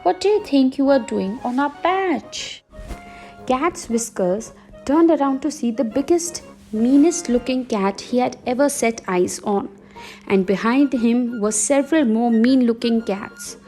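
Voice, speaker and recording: A woman telling a bedtime story in a gentle, unhurried reading voice over soft background music. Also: on the high side.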